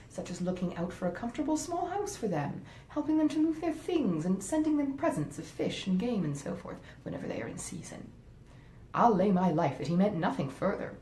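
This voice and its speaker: A grasping, genteel wife from a storybook classic, voiced by a woman reader, pressing her case with smug insistence. Her pitch swoops freely while the tone stays soft-edged and mellow. The room adds audible echo. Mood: persuasive. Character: grasping, self-justifying married gentlewoman, coolly persuasive. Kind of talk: dramatized audiobook reading of a classic novel. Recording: roomy echo, faint rumbling noise.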